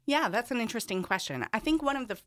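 A woman's voice: a little high, animated, quick pace, fairly bright tone. A woman interviewed as a stage director, explaining in a thoughtful, articulate way.

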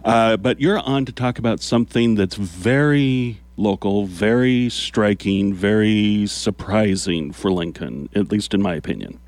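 A fairly low-voiced man hosts a community radio show, rambling easily with plenty of "uh"s. He sits close to a studio mic that sounds dry and close. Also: unhurried, hardly any pauses, dry recording. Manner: relaxed, rambling, frequent "uh" fillers. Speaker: radio host. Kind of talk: casual community radio chat. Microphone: studio microphone.

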